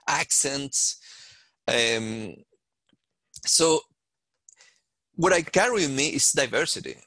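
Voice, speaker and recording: A man from Chile with a Chilean Spanish accent, speaking thoughtfully on a panel and pausing to find his words. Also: medium pitch, pushed and bright, dry recording, poor audio. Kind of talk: panel discussion.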